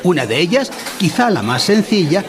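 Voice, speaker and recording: A man's voice: high voice, sing-song, normal speed, a crowd in the background. A man playing a pompous instructor in a mock-educational radio play, with formal, didactic delivery. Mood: solemn, didactic. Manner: formal, didactic, solemn wording. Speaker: narrator in an audio drama.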